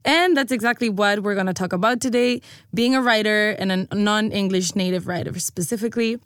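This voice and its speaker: A chatty woman hosts a podcast conversation, her mid-pitched voice close and dry. She talks in an easy, laughing manner. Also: brisk, fairly bright tone, clear, resonant tone, no echo. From Spain. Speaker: podcast host, copywriter. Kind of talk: casual podcast chat.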